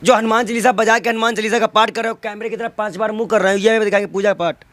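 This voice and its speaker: A man's voice: high-pitched, steady tone, racing, a bit bright, no echo. A man in a heated political interview, arguing emphatically with rhetorical questions and repeated phrases. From North India.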